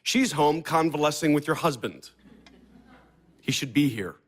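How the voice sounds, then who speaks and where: A man's voice: a little high, lively intonation, measured pace. An actor with an American accent playing a blunt, contemptuous, take-charge man who lectures sardonically in an audio drama. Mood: blunt, urgent. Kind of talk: naturalistic audio drama acting.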